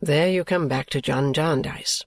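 A man playing an affectionate but bitter, evasive young gentleman, earnest and weary, in acted audiobook dialogue from a classic novel. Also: fairly high pitch, steady tone, unhurried, vocal fry, no echo. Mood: impatient.

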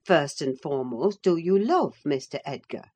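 A woman's voice: sits low, lively intonation, normal speed, a little mellow, breathy edge. A woman voicing a no-nonsense, sardonic female servant in a dramatised audiobook, dry, sententious and scolding. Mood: stern, quizzing. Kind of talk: dramatised audiobook reading of a classic novel.

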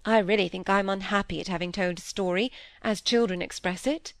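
A woman's voice: medium pitch, steady tone, a little fast, dry recording, clean studio sound, natural voice. A woman voicing a frank, teasing, world-weary young noblewoman in a period novel, speaking in a breezy, imperious and emphatic way. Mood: frank, playful. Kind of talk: audiobook character voice in a period novel.

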